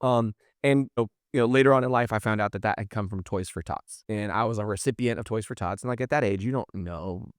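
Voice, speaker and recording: A man's voice: fairly low pitch, fast, hardly any pauses, clean tone, no echo, clean studio sound. A man in his thirties, a podcast guest telling stories casually and informally, with "like" peppered through his talk. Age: 30s. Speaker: podcast guest, marketing business owner. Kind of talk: casual conversational storytelling.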